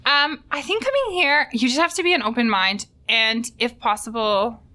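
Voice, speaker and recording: A woman in her thirties talks casually as a podcast guest. She fills her speech with "like" as she goes. Her voice is bright and sits a little high. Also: normal speed. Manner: chatty, many "like" fillers.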